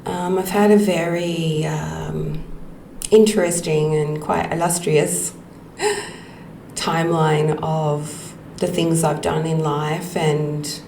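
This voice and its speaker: Woman podcast host, middle-aged, low gravelly voice, unhurried personal storytelling in an echoey room. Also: fairly even intonation. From Australia. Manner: slow, reflective, with frequent ums and soft laughs. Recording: echoey room with faint background noise.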